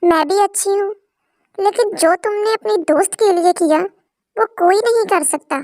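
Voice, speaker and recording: A woman's voice: very high-pitched, moderate pace, a little mellow, pitched above the performer's own voice. A woman voicing a young college woman in a cartoon moral story, sweet but blunt and practical. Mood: cold, blunt.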